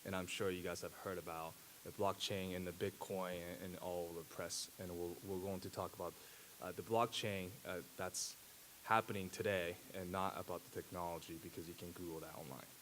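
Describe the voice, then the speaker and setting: A man in his thirties, a native Japanese speaker, giving a conference talk at a podium with a handheld mic, pausing often with fillers and restarts. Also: low voice, fairly even intonation, a bit bright, a little hiss or hum, rough recording. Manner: halting, many fillers and restarts. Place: large dark auditorium stage.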